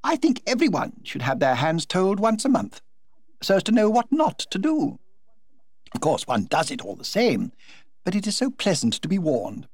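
A man voicing a vivacious forty-year-old society hostess in a high, gushing, theatrical tone. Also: wildly swooping pitch, normal speed, darkish tone, husky, no echo, clean studio sound, exaggerated voice.

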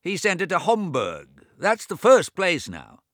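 A fussy, money-minded deaf old man who keeps repeating himself, played by a man narrating a storybook. His voice is pushed high and loud, swinging widely in pitch, bright and dry. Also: wildly swooping pitch, unhurried, no echo, full character voice. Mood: fretful. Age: over 70. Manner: fretful, repetitive, nagging.

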